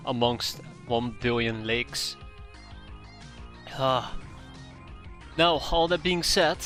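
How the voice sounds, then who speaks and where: A man's voice: medium pitch, sharp tone. A man with a Dutch accent streaming a strategy game from home, chatting casually with viewers and often correcting himself. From Netherlands. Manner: rambling, self-correcting, chuckling asides. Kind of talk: casual game commentary to chat. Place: home room.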